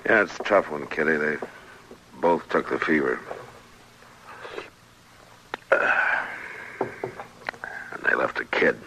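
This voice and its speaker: An American actor playing a world-weary, somber U.S. Marshal of the Old West in a radio drama, speaking tersely. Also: male voice, rumbling low, expressive, unhurried, pushed and bright, full character voice. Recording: noisy vintage radio recording.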